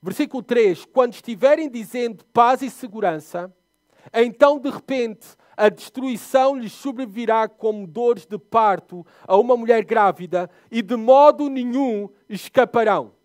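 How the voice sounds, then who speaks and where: A young man with a European Portuguese accent preaches in a high, animated voice. He swings between loud, emphatic lines and soft, low asides as he tells a story. The sound is dry and close to the mic. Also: normal speed, dry recording. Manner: animated storyteller, voice rising and dropping sharply for emphasis. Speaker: pastor. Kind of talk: sermon with personal storytelling.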